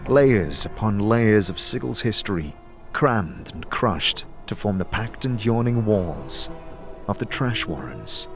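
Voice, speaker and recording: Male narrator, rather low, dramatic scripted fantasy storytelling, soft music behind. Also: lively intonation, slow. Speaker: narrator, game master.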